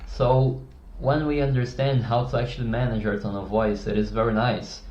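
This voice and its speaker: A man's voice: mid-range voice, flat delivery, normal speed, a little mellow. A man teaching how to use tone of voice, with a casual, rambling manner, and a habit of swearing. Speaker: communication coach. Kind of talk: casual explainer lesson. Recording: roomy, dull lo-fi sound.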